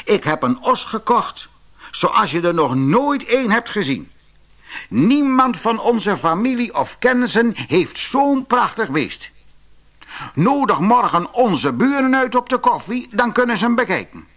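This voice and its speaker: A man voicing a proud, excited, boastful cattle merchant in a storybook. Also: high-pitched, measured pace, pitched above the performer's own voice, heavily acted. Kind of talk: storybook character voice.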